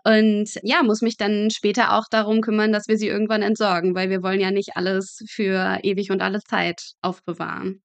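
A woman's voice: medium pitch, level tone, brisk, vocal fry, hardly any pauses, dry recording. A woman guest in a casual interview, explaining her work in conversational terms. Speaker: podcast guest, medical student working in pathology.

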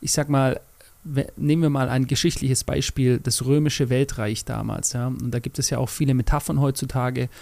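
A man's voice: mid-range voice, creaky, darkish tone, dry recording. A man from Germany co-hosting a casual podcast conversation, often affirming and talking in long, thoughtful sentences with fillers. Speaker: podcast co-host.

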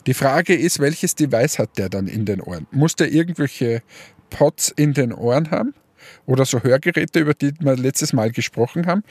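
Relaxed joking German-speaking man, dry sound. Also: mid-range voice, no room sound. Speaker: podcast co-host. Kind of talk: casual chat.

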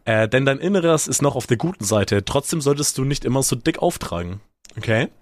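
A man's voice: medium pitch, lively intonation, a little fast, dry recording. A young man chatting casually as a podcast co-host, with slangy banter. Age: young adult.

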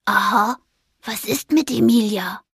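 A woman voicing a small, gentle ghost in a storybook, speaking softly, breathily and hesitantly. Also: medium pitch, unhurried, no echo, clean studio sound, heavily acted. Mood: curious. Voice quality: breathy, whispery. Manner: soft, downcast and hesitant.

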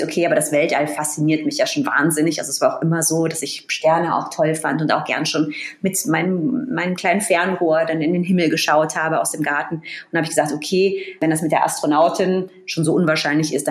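A woman in her thirties, raised bilingual in German and English, telling her story as a guest in a casual interview with lively, animated energy and many fillers. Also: sits low, roomy sound. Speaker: guest, astrophysicist in astronaut training.

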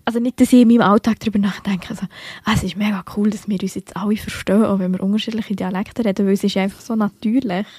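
A woman's voice: medium pitch, steady tone, fast, a little mellow, husky, no room sound. Young Swiss woman, Bernese accent, dry sound. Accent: Bernese Swiss German. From Switzerland. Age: young adult. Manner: chatty, many fillers and self-corrections. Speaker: podcast co-host. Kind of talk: casual literary podcast chat.

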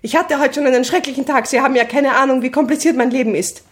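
A woman's voice: high voice, fast, lightly acted. A woman with an Austrian accent playing a flustered, harried mother flirting with a stranger in an improvised audio play. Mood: coy, weary.

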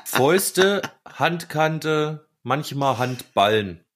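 A man's voice: fairly high pitch, animated, drawn-out words, fairly bright tone. A man chatting casually and colloquially as a podcast co-host, with slangy turns of phrase.

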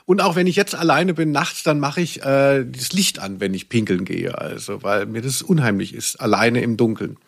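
A man's voice: mid-range voice, lively intonation, normal speed, gravelly, hardly any pauses. A man co-hosting a casual comedic podcast, talking with ironic, theatrical exaggeration. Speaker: podcast co-host. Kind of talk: casual comedic podcast chat about audio dramas.

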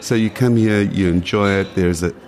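A man's voice: on the low side, moderate pace, noisy background. A man in his late forties with a South African accent, chatting in a casual interview and repeating phrases for emphasis. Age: late 40s. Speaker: podcast guest.

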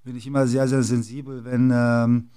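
A man's voice: mid-range voice, level tone, moderate pace, mellow, clear, resonant tone. A middle-aged man from the former Yugoslavia, a guest in an interview, who talks with many fillers and restarts. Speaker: interview guest, basketball club executive. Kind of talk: casual interview talk.

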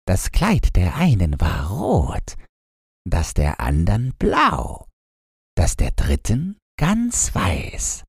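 A man voicing solemn, mournful hyacinth flowers in a fairy tale, in a hushed, swaying recitation. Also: low voice, wildly swooping pitch, very slow, vocal fry, slightly rough, no echo, clean studio sound, voice pushed down, exaggerated voice.

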